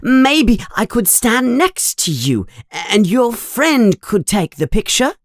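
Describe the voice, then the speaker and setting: A small, starstruck schoolboy from a storybook, speaking in a thin, very high voice. He is nervous and eager, gushing with a stammer, and the sound is dry and close. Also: huge pitch swings, slow, no echo, full character voice. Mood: excited. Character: nervous, excitable small first-year schoolboy fan.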